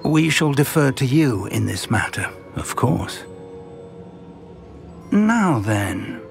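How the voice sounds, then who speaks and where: An elderly professor in a fantasy game, gravelly and slow. His inflection is lively yet measured, like a thoughtful, cautious mentor. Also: medium pitch, soft background music, exaggerated voice. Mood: cautious, deferential. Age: older. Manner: measured, reflective, gentlemanly. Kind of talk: video game cutscene acting.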